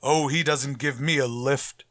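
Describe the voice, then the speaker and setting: A man's voice: mid-range voice, fairly even intonation, unhurried, a bit bright, no echo, clean studio sound, close to an everyday voice. Man playing a pompous, stuffy school principal. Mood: disdainful, dreading. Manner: stuffy, formal, dryly sarcastic. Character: pompous, grumbling middle-aged male high school principal. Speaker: actor. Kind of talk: old-time radio comedy acting.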